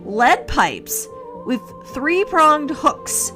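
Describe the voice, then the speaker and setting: A woman podcast host telling a scripted ghost story in a theatrical, measured way with dramatic pauses. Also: sits high, sing-song, sharp tone. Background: music.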